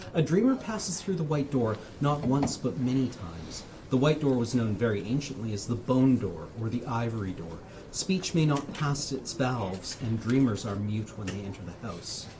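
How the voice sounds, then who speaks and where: A man reading in the voice of a solemn, fearful occult dreamer, in a measured, formal, literary manner with hushed phrase endings. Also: mid-range voice, slight background noise, close to an everyday voice. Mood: solemn, confiding.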